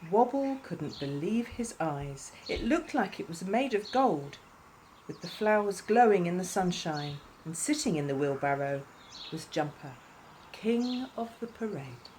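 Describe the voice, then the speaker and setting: A woman reading a scripted children's story in an expressive, measured way. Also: lowish voice, a little hiss or hum, poor audio.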